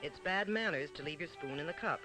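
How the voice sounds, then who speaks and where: A man's voice: fairly high pitch, fairly quick. A man narrates an old instructional film in a formal, didactic way, on a vintage film soundtrack. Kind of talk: mid-century instructional film narration, scripted. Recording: old 1950s-60s film soundtrack with music.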